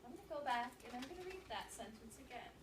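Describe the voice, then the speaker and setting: A woman speaking as a teacher, reading aloud and instructing a class with an animated, questioning manner. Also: on the high side, quick pace. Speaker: teacher demonstrating an interactive read-aloud. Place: indoor classroom. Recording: roomy classroom, distant mic, background noise.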